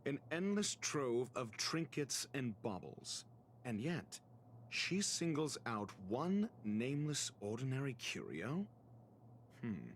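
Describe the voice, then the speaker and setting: A man's voice: medium pitch, big pitch swings, slow, a little hiss or hum. An actor playing an arrogant, impatient male scholar in a video game, questioning with condescension and sarcasm. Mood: skeptical, probing. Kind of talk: scripted video game voice acting.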